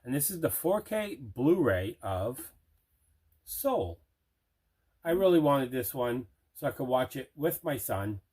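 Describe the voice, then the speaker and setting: A man chats casually as the host of an unboxing video. His voice sits in a middle pitch, and he leans on simple, emphatic, repeated phrases to show his enthusiasm. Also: very animated, slow, a little mellow, husky.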